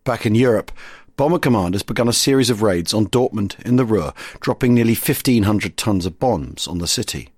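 A man presenting a history podcast, reading scripted narration in a husky voice. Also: fairly low pitch, lively intonation, a little fast, darkish tone, no echo, clean studio sound.

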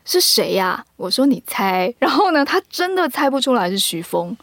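A woman's voice: fairly high pitch, sing-song, normal speed, fairly bright tone, no room sound. A woman speaks candidly and explains at length, as a guest in a casual podcast interview. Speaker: audio drama producer and casting director, guest.

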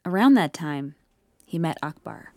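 A woman narrating an audio comedy as a wry, measured, all-knowing storyteller in a scripted style. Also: low voice, lively intonation, a little mellow, no echo, studio quality. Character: wry omniscient female storyteller.

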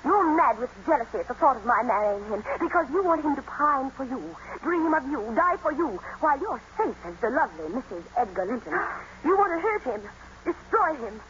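A woman's voice: high-pitched, very animated, fairly quick, fairly bright tone, slight background noise. Haughty young lady, voice swoops all over, heated old radio drama, muffled sound. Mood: defiant, impassioned. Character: defiant, impassioned young lady in love. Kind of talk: 1940s-50s radio drama acting.

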